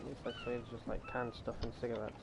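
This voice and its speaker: A man's voice: medium pitch, huge pitch swings, fast talker, noisy background. Laid-back young man streaming a game. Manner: laid-back, talks straight to his viewers. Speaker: streamer host. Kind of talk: casual chat to a live stream chat.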